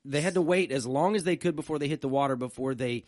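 A man co-hosting a casual podcast in a live stream room, joking and telling quick stories. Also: fairly high pitch, a little mellow, no room sound, clean studio sound. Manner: jokey, storytelling, quick asides. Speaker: podcast co-host.

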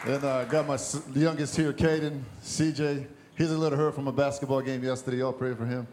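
A middle-aged man with a medium-pitched voice speaks casually to a congregation. His testimony is informal and folksy. Faint noise sits behind him. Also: fairly even intonation, a little fast, a crowd in the background. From born in Brazil, raised in the US. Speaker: guest church planter. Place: church stage. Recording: background noise in live church.

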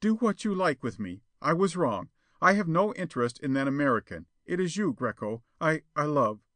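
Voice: mid-range voice, sing-song, normal speed, fairly bright tone, no echo, pitched above the performer's own voice. A man voicing a sheltered young woman in a storybook, frightened but defiant, earnest and pleading.

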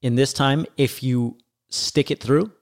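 A man's voice: medium pitch, steady tone, a little slow, vocal fry, a little mellow. A middle-aged man hosting a podcast in casual chat, laid-back, profane and self-mocking. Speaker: podcast host.

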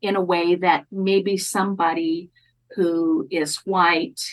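A woman community college educator speaking as a podcast guest, careful and measured, often restarting her phrases. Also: fairly low pitch, flat delivery, poor audio. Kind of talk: thoughtful podcast interview talk.

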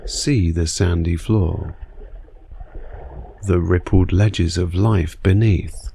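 Very slow, deep-voiced man guiding meditation. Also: fairly even intonation, creaky, slight background noise. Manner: soothing, unhurried, hushed delivery with long pauses. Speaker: guided meditation narrator. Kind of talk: guided sleep meditation, scripted narration.

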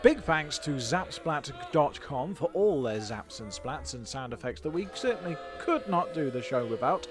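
A man's voice: fairly high pitch, animated, normal speed, hardly any pauses. A British man co-hosting a silly history comedy podcast, with playful, mock-grand scripted narration.

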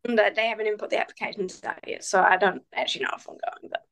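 A woman, speaking as a guest on a casual interview. Her pitch sits mid-range. She answers in a relaxed but halting way, full of fillers and restarts. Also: gravelly. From New Zealand. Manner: hesitant, many fillers, relaxed. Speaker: guest, professional cyclist.

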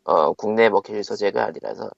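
A man hosting a casual podcast, dropping dry, quick interjections. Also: on the low side, gravelly, no echo. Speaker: podcast host. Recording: dry lo-fi audio.

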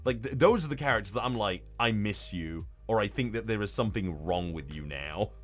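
Animated, swearing man on a podcast. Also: lowish voice, brisk, soft background music. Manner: profane, emphatic, often stammering restarts. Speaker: podcast co-host. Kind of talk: casual excitable chat about manga.

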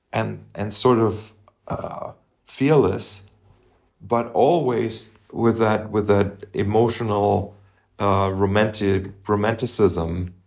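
Low gravelly man musing on music. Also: darkish tone. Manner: thoughtful, halting, hums melodies to illustrate. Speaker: podcast co-host, musician. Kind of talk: casual music discussion.

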